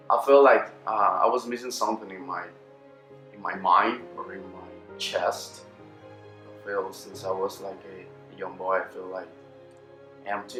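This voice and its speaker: Slow-talking Costa Rican man. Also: fairly low pitch, sharp tone, echoey room. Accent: Costa Rican Spanish. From Costa Rica. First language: Spanish. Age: 20s. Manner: halting, many fillers, slips into Spanish. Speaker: testimony speaker. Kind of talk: personal testimony.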